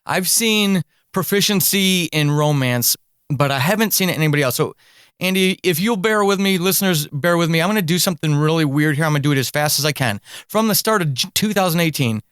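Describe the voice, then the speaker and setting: A man's voice: fairly high pitch, lively intonation, a little fast, fairly bright tone, clear, resonant tone, no room sound, clean studio sound. A man hosting an interview podcast in a casual, informal way, breaking into his own sentences with asides.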